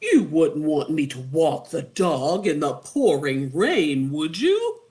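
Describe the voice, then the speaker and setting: A woman's voice: low-pitched, very animated, slow pace, darkish tone, full character voice. A woman voicing a henpecked, lazy wizard husband in a storybook, cooing sweet talk at his wife. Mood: pleading. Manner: cooing pet names.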